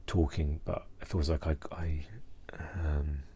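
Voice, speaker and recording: A man speaking in a hesitant, halting way, searching for words and subdued with distress, in a personal reflective vlog monologue. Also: very deep voice, little pitch movement, mellow, husky. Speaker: mental health vlogger.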